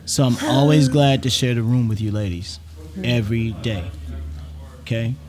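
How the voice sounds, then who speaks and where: A man's voice: mid-range voice, normal speed, crowd noise. A man hosting a casual podcast round a table, encouraging and emphatic, often checking in with "all right?". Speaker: podcast host and gym owner.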